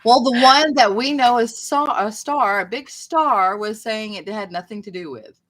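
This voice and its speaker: A woman's voice: on the high side, moderate pace. A woman talking casually on a livestream, at times reading aloud.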